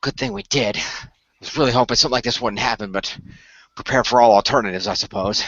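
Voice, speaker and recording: Weary male team leader, acted by a man, bright forceful voice, matter-of-fact, radio play, dull recording. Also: mid-range voice, fast, no room sound, lightly acted. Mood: resigned. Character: Noah, pragmatic weary male boss of a hero team.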